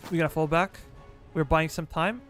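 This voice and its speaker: A man's voice: on the high side, fairly even intonation, moderate pace, faint music behind. A man from Serbia with a Serbian accent, casually commenting live on a strategy game while ordering his troops and chatting with viewers. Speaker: game streamer.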